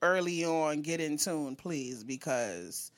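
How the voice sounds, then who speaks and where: A woman's voice: deep voice, lively intonation, takes it slowly. A woman co-hosting a podcast, chatting casually and animatedly about music, swearing freely and breaking into song. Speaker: podcast co-host.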